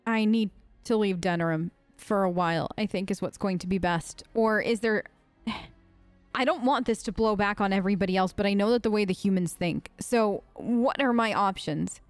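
A woman's voice: mid-range voice, normal speed, no echo, soft background music. A woman gaming host doing casual let's play commentary, talking in character. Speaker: gaming host, role-player.

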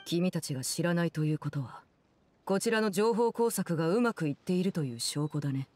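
An actress playing an aloof, commanding woman in a Japanese game, speaking in a composed, measured, formal way. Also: fairly low pitch, expressive, darkish tone, no echo. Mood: cool, dismissive. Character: cool, commanding woman, aloof diplomat and stern guardian. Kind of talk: Japanese anime-game voice acting.

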